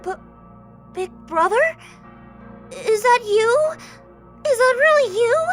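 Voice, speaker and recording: A woman voicing a youthful, innocent younger-brother god in an audio drama, soft and feminine, with a timid, halting, earnest delivery. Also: very high-pitched, animated, heavily acted. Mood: timid surprise. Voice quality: soft, delicate, feminine-sounding. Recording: background music bed.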